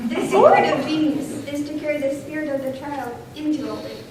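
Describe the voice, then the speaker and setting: A high-voiced woman performs scripted lines on stage. She sounds far from the microphone in a very reverberant hall with faint noise. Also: fairly quick, crowd noise. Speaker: readers theater performer. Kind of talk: staged dramatic reading. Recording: very echoey hall, distant mic, faint noise.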